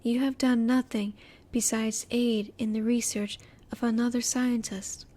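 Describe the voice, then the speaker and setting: A woman voicing a curious, reserved female alien scientist in a roleplay audio, speaking politely in formal, measured, halting phrases. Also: a little high, little pitch movement, warm tone. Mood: calm reassurance. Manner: formal, measured, precise wording with halting pauses. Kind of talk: scripted roleplay audio, spoken intimately to the listener.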